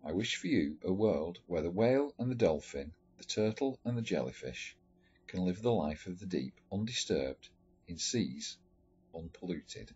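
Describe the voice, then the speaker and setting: A man reading a letter story aloud in a measured, expressive storytelling manner. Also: sits low. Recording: dull lo-fi recording with music behind. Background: background music.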